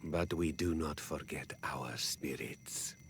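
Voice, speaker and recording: An actor playing a calm, grave village elder in a video game, speaking in a measured, solemn way. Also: male voice, extremely low pitch, a little hiss or hum, exaggerated voice. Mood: solemn recounting. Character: calm, grave native village elder, peace-seeking man. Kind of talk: video game cutscene acting.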